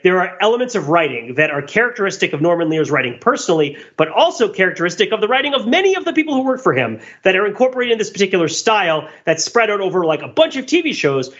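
A man's voice: high-pitched, a bit bright, slightly rough, lo-fi sound. A man co-hosting a podcast, talking in a casual, analytical, discursive way and often checking in with a tag question. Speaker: podcast co-host.

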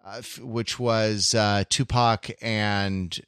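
A man chatting casually as a podcast co-host, speaking in a halting, stop-and-start way as he searches for words. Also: fairly low pitch, fairly even intonation, a bit bright, clean tone, no echo, studio quality.

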